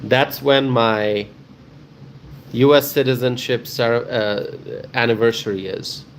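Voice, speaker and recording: A man's voice: medium pitch, fairly even intonation, slow pace, slight background noise. Emphatic man chatting live with viewers. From Bangladesh. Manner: repeats phrases for emphasis. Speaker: livestream host answering viewer comments. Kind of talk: casual live chat with viewers.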